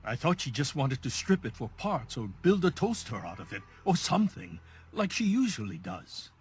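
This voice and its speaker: A man's voice: medium pitch, big pitch swings, moderate pace, slightly rough. An actor playing an anxious middle-aged father in a video game, strained and urgent about his family. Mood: distraught, insistent. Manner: urgent, insistent, agitated. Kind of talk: dramatic video game voice acting.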